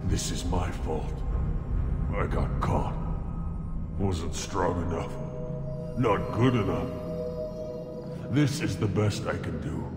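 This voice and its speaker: An actor playing a wounded, drugged alien scout in a video game, self-doubting and groggy, with a gravelly voice. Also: male voice, sits low, slow pace, long pauses. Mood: desperate, ashamed. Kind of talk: video game character acting. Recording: echoey hall reverb under loud ominous music.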